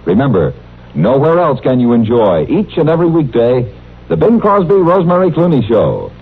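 A man's voice: mid-range voice, wildly swooping pitch, normal speed, slightly rough. An American man announcing on old network radio, reading scripted copy in a polished, promotional manner. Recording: dull old radio broadcast recording.

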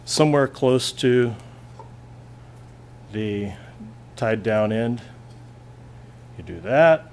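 A man's voice: medium pitch, lively intonation, drawn-out words, long pauses, a little hiss or hum. A male physics teacher at a classroom lab bench, plainly talking through a hands-on demonstration and thinking aloud as he goes.

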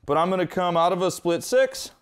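A man's voice: sits high, expressive, brisk, slight background noise. A man presenting a hands-on equipment tutorial, explaining step by step in an informal, conversational way.

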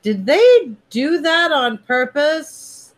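A middle-aged woman co-hosting a casual movie-ranking chat on a video call. She thinks out loud in long, wandering sentences with restarts. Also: sits high, big pitch swings, drawn-out words. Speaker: co-host. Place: dim room at home. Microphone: webcam on a video call.

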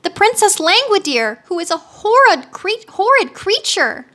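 An earnest little girl from a storybook , acted by a woman reader. Her voice is very high and bright, and she speaks emphatically, with a plaintive edge. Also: expressive, moderate pace, full character voice. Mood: indignant. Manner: emphatic, pleading.

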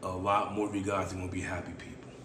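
A raspy-voiced man in his thirties hosting his own podcast, talking in a laid-back, playful way and repeating his phrases, seated indoors and recorded on a camera mic at mid distance. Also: deep voice, quick pace. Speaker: podcast host. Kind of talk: casual solo podcast monologue. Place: small room indoors, seated. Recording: echoey room with steady background hiss.

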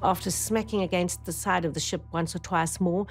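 A middle-aged woman speaks in a slightly low register as a documentary interviewee. She retells a survival ordeal in a vivid, urgent way, often cutting herself off and starting over. Faint dramatic music plays beneath her voice. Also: steady tone, moderate pace. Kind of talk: documentary testimony. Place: dark indoor interview studio.

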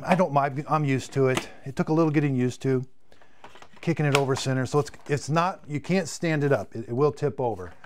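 Man in his fifties, chatty live-stream reviewer, slightly hoarse, medium-pitched voice, faint background noise. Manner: chatty, enthusiastic, repeats words while demonstrating. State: losing his voice. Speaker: product reviewer. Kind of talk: live-stream product demo. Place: home studio room with shelves of vacuums.

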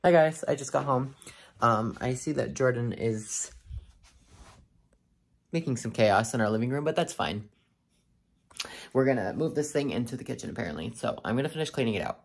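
Young guy vlogging at home, husky breathy voice, easygoing. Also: mid-range voice, lively intonation, moderate pace, pauses often. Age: young adult. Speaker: family vlogger. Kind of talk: casual vlog to camera. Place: home, indoors.

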